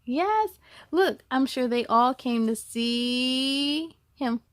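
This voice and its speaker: A woman with a high voice reads to children in an animated, expressive way. She takes her time and slips in chatty asides and questions for her listeners. The sound is close and dry, with little trace of the room. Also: extremely slow, no echo. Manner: animated, exclaiming, gasping and musing, asking listeners questions. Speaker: story-time host reading a picture book aloud to children.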